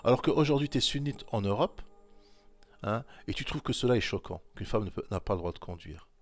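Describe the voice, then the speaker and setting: A man's voice: mid-range voice, lively intonation, brisk, no room sound, faint music behind. A man giving informal commentary on scripture, repeating his points insistently and speaking directly to the listener. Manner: insistent, repetitive exhortation; talks straight to the listener. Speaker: religious commentator.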